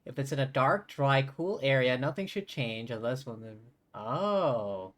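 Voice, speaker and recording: A woman's voice: a bass voice, expressive, unhurried. A woman livestreaming a video game, casually chatting and reacting aloud as it goes. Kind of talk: casual live commentary on a game. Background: game music.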